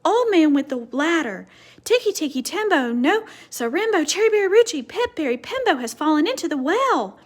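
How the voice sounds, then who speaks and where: Woman acting a frantic, high-pitched little boy. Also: big pitch swings, normal speed. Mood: shouting. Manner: shouting, gasping chant of a long name. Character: frightened, breathless little boy Chang, pleading urgently.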